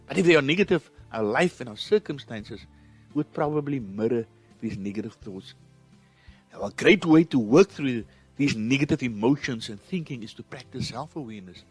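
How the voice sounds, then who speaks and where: A man's voice: medium pitch, husky. A man with a South African accent hosting a motivational podcast, speaking in an earnest, encouraging way. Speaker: podcast host.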